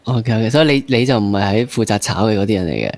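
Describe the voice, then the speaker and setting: A man with a Hong Kong Cantonese accent interviewing casually, summing up and gently challenging with leading questions. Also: on the low side, fast talker, dry recording. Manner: probing, summing up and playfully challenging the guest. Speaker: podcast interviewer.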